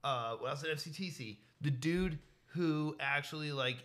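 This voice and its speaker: Bright-voiced young man telling a rambling story. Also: fairly high pitch, normal speed. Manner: rambling storyteller, laughs often. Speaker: podcast co-host. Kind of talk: casual chat.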